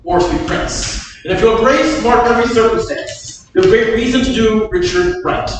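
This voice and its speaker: A man playing a loyal, forceful English lord in an emphatic Shakespearean staged reading. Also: extremely high pitch, normal speed. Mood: courtly. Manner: emphatic declamation. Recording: echoey hall from far off, faint noise.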